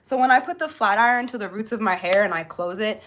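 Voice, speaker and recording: A woman speaks at an average female pitch. She is hosting a live beauty tutorial in a casual style. Her delivery is informal and chatty, addressed directly to the people watching. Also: quick pace, a bit bright, a little hiss or hum. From born in Jamaica, grew up in Florida, US. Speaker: beauty livestream host.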